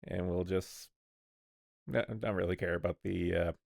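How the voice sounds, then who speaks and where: A man's voice: sits low, steady tone, normal speed, creaky, darkish tone, no echo, clean studio sound. A man hosting a casual online stream chat, rambling and restarting his sentences while he sets up and talks. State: coughing lately, sick. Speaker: streamer host.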